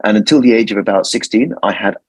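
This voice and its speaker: A man's voice: lowish voice, quick pace, husky, dry recording, low-quality recording. A man in his forties from London with a London accent, answering interview questions thoughtfully and often repeating words as he finds his phrasing. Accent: London English. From London, England. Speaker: guest lawyer.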